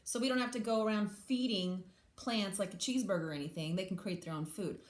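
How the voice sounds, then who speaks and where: A woman's voice: medium pitch, fast. Woman teacher reading aloud in an echoey room. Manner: simple explanatory asides and questions to young listeners. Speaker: English language teacher reading aloud to children. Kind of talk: children's picture book read-aloud with informal explanations.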